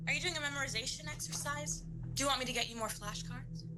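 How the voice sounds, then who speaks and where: A woman playing a fussy, pushy mother who nags with rapid questions in an audio drama. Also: high-pitched, over loud music, natural voice. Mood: nagging, pushy. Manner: fussing, rapid-fire questions.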